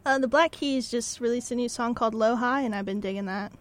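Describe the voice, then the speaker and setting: A young woman guest joins the podcast chat. Her voice is fairly high, and she keeps her answers short and easygoing. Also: a little fast. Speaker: guest, promotions director. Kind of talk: casual chat.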